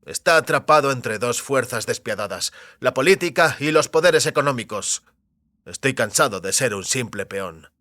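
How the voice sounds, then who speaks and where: A man playing a determined, defiant technician who is tired of being used, speaking firmly and deliberately in an audio drama. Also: medium pitch, expressive, pushed and bright, dry recording, studio quality. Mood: bitter, weary. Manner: firm, deliberate, insistent.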